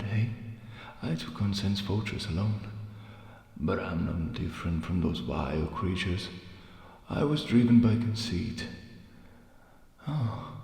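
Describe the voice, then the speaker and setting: Man voicing a low growly crestfallen undead merchant. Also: creaky, a little mellow, heavily acted. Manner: weary, taunting, drawn-out phrasing. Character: crestfallen undead merchant, bitter, mocking, conceit long broken. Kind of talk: video game character acting. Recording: echoey, long reverb.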